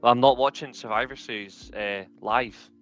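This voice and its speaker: A man's voice: mid-range voice, fairly even intonation, normal speed, a bit bright, soft background music. A tired man, co-hosting a casual live stream and rambling with lots of repeated words. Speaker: co-host.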